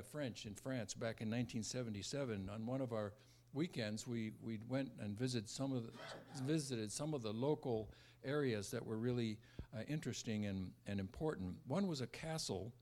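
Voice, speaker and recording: Male preacher, 70s, American accent. Halting sermon delivery with restarts, mid-range voice, moving between scripture and personal stories. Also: medium pitch, a little mellow. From born in America, raised largely in Southeast Asia. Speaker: preacher, former missionary.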